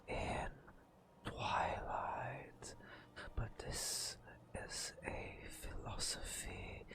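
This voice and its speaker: A man narrating ASMR in a whispery, breathy voice, with drawn-out, theatrical storyteller phrasing. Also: sharp tone. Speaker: gaming ASMR host.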